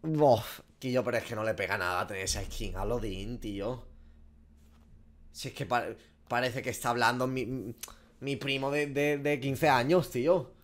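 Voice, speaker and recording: Male streamer, twenties, Spanish accent from Spain, informal sweary game reactions, average pitch. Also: normal speed. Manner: informal, slangy and sweary, thinking aloud. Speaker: gaming streamer. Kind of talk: casual reaction commentary. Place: small home room.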